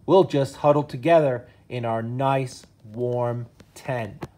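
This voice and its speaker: A man's voice: mid-range voice, steady tone, slow pace, warm tone, lightly acted. A man reading aloud and voicing a weary, worried mother bear in a storybook, with a sighing, slightly grim manner.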